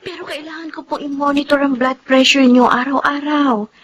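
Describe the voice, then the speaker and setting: Young pinay nurse daughter, high gentle pleading voice, radio drama. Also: female voice, fairly even intonation, normal speed, dull audio, slight background noise. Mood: caring, hurt. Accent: Filipino. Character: dutiful, patient young Filipina nurse daughter.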